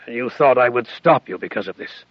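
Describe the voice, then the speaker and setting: A man voicing a weary, tender colonel who speaks softly and in a fatherly way, in a dull, lo-fi recording. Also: mid-range voice, expressive, brisk, no echo, close to an everyday voice.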